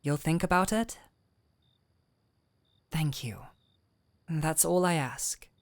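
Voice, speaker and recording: Slow, low-voiced gentle fantasy physician played by a woman. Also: no room sound. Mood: coaxing, tender. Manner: gentle, unhurried, soothing. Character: gentle, caring fantasy physician woman, patient and softly teasing. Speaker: audio roleplay voice actor. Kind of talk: intimate scripted audio roleplay.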